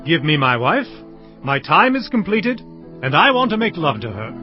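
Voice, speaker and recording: A man's voice: a little high, big pitch swings, moderate pace. An actor playing an earnest, headstrong young man in a dramatized audio Bible, with emphatic, theatrical delivery. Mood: earnest, insistent. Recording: slightly dull audio, music underneath.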